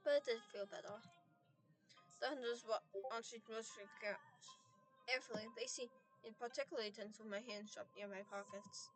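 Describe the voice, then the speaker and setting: A woman with a somewhat high, raspy voice reads a game's story out loud. She trips over words and starts them again, now and then breaking off to apologize or sigh. Faint music plays behind her. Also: rough recording. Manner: stumbles and restarts words, apologizes, sighs. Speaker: game narrator reading story text aloud. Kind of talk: reading a game's story aloud with asides.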